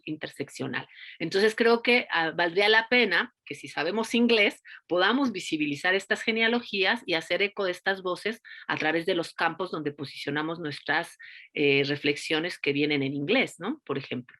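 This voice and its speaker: A woman's voice: fairly low pitch, fairly bright tone. A woman speaking as an academic panelist, explaining her view spontaneously in an online seminar. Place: online seminar, remote call.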